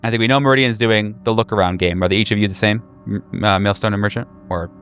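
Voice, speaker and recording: Low-voiced man narrating as game master. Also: steady tone, a bit bright, clear, resonant tone, faint music behind. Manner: casual, halting, descriptive. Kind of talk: improvised narration of a horror tabletop game.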